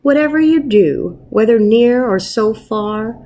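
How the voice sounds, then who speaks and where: A woman in her thirties with a fairly high voice speaks like a primary school teacher addressing small children. Her delivery is slow, expressive and encouraging. She sounds informal, as if recording a message on a webcam at home. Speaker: first grade teacher. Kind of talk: warm informal message to pupils and picture-book read-aloud. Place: wood-ceilinged room at home, indoors. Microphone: webcam at arm's length.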